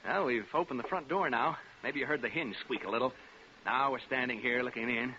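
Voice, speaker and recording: A man's voice: mid-range voice, fairly quick, bright, forceful tone, natural voice. An American man playing a brash, wisecracking radio DJ, narrating a ghost hunt in the flippant style of 1940s radio drama. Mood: wry, curious. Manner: flippant, joking, showman-like. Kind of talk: 1940s radio acting, live remote broadcast narration. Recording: dull, noisy 1940s radio recording.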